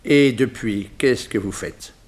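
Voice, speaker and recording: An actor playing a shrewd, dry examining magistrate in a 1960s French radio drama, speaking formally and with authority. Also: male voice, on the low side, slow pace. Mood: dry, brisk.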